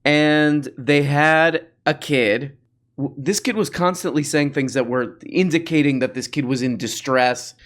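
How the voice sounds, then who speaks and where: A man's voice: on the high side, unhurried, a bit bright. A man hosting a comedy show, mocking clips in a rambling, self-interrupting way while losing his voice. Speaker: host.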